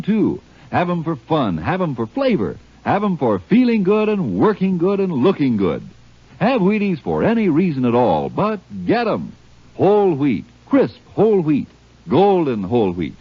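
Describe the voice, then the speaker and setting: An American man with a husky voice giving a punchy 1950s radio sales pitch. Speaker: commercial announcer. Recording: muffled vintage radio recording.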